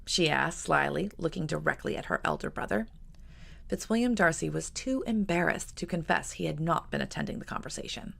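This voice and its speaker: A woman author reading her own novel aloud in a measured, expressive narration. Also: deep voice, creaky. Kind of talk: audiobook narration.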